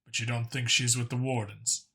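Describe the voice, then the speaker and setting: A man playing a blunt, wry human cop in an audiobook, with a casual, low-key delivery. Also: little pitch movement, moderate pace, vocal fry, sharp tone. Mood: curious. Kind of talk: audiobook character acting.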